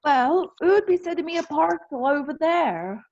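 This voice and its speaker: Woman as high-voiced earnest young farm lad. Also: expressive, moderate pace, darkish tone, muffled recording. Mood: excited. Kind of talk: amateur screenplay read-through.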